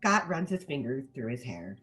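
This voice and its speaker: A woman reading stage directions as scripted narration at a table read, in an animated manner. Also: extremely low pitch, normal speed, soft background music.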